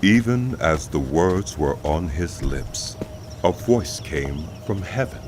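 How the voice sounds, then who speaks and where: Deep-voiced male scripture narrator. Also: lively intonation, slow, noisy background. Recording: loud music behind the voice.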